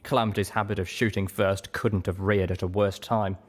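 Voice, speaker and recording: Guy reading an audiobook style story in first person, lowish voice. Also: flat delivery, moderate pace. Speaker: story reader. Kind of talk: scripted first-person fiction narration.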